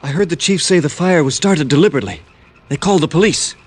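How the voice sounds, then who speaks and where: Naive, earnest carnival giant. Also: male voice, on the high side, quick pace, a little mellow, husky, faint music behind. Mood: urgent. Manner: hesitant, earnest, defensive. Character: very tall skinny lovesick carnival man, naive, earnest. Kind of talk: old-time radio drama acting.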